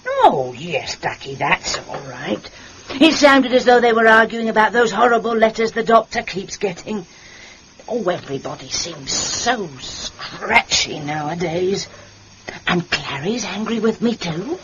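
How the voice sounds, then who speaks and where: An actress with an English accent playing a chatty, fussy, warm-hearted middle-aged theatrical landlady aunt in a British radio drama. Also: medium pitch, very animated, measured pace. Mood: indignant, wound up. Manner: gushing, scatterbrained, endearments and exclamations. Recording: muffled radio recording with background noise.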